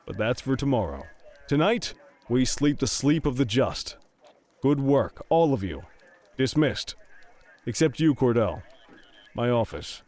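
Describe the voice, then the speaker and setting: Seasoned police captain, stern yet paternal, weary authority, measured delivery for a game cutscene. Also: male voice, medium pitch, very animated, darkish tone. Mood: firm, commanding. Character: stern, paternal male police captain, middle-aged or older. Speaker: police chief addressing officers. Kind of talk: dramatic video game cutscene acting.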